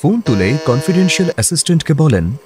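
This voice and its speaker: Very animated male radio-play narrator. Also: fairly high pitch, fast talker, a little hiss or hum. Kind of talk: scripted dramatic story narration.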